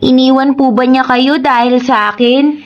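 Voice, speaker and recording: A high, childlike voice of an innocent young boy acted by a woman in a radio drama. He speaks politely and pleadingly. The sound is a bit muffled, with music underneath. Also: fairly even intonation, moderate pace. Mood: hurt, questioning. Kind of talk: Tagalog radio drama acting.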